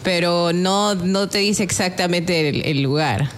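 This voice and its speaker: A woman's voice: fairly low pitch, a little slow, slight background noise. A woman chatting casually. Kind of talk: casual chat.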